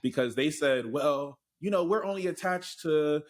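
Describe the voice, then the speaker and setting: A man's voice: a little high, normal speed. A man on a casual panel telling a story in a wry way, with laughs between his words. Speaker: panel guest.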